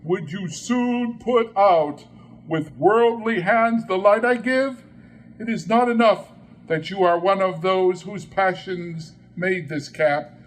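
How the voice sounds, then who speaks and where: A man voicing a small, gentle but solemn ghost in a storybook reading, speaking gravely and with command. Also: high-pitched, voice pushed up.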